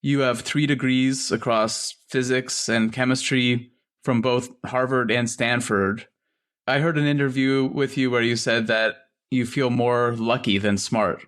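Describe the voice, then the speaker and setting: A man with an average-pitched voice hosts an interview podcast. He talks casually, with a playful streak, and affirms his guest between questions. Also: steady tone, measured pace, fairly bright tone. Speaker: podcast host.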